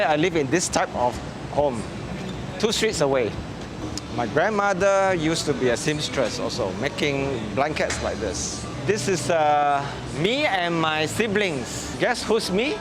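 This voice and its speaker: A Singaporean man in his fifties, a muralist, speaking gently and self-deprecatingly in a documentary interview, chuckling often. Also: fairly high pitch, lively intonation, measured pace.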